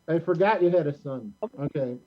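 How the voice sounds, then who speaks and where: A man's voice: on the high side, normal speed. A man casually hosting and directing a live show over dull, lo-fi call audio.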